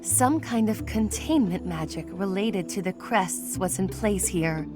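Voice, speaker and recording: A woman's voice: mid-range voice, lively intonation, unhurried, over music, close to an everyday voice. A woman playing a formal, self-abasing young noblewoman mage in a video game, with ornate, apologetic phrasing. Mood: meek, uncertain. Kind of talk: English video game voice acting.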